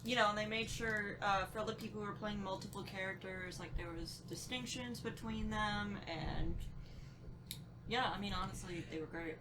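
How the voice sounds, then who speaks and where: A woman's voice: medium pitch, moderate pace, background noise. A woman on a podcast panel, talking loosely and informally among friends. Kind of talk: casual group chat. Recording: clear chatter behind the voice.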